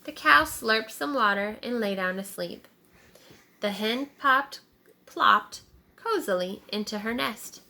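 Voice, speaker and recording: A woman's voice: fairly high pitch, lively intonation, takes it slowly, creaky, pushed and bright. A woman teacher reading a picture book aloud to young children, checking in with questions as she narrates.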